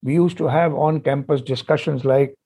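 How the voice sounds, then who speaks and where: Emphatic man, dark husky voice. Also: on the high side, steady tone, moderate pace. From India. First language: Hindi. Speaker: panel guest. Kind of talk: panel discussion.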